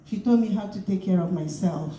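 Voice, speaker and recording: Earnest woman from Nigeria, dark roomy sound. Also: on the low side. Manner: slow, earnest and heartfelt. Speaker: speaker at a memorial gathering. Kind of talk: memorial tribute speech. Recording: roomy hall mic from far off with background noise.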